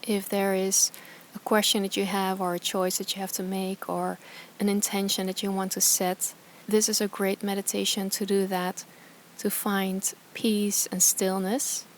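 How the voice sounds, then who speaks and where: Soft soothing woman yoga teacher doing a guided meditation, barely any pitch movement, some background noise. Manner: calm, soft, soothing, with slow pauses between phrases.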